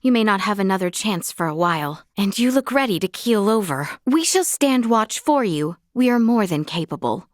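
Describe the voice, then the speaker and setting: A woman's voice: mid-range voice, animated, normal speed, hardly any pauses, dry recording, clean studio sound, natural voice. A woman playing an earnest, gracious young noblewoman in a fantasy video game, speaking in formal, old-fashioned, courteous phrasing. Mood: gentle concern. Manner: formal, archaic, courteous diction.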